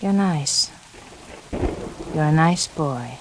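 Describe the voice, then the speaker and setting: Coaxing noir femme schemer, hissy radio. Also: female voice, low-pitched, animated, drawn-out words, exaggerated voice. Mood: confiding, seductive. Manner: smooth-talking, coaxing. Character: cool seductive female schemer in noir thriller. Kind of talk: 1940s radio acting. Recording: hissy 1940s radio recording, rain noise.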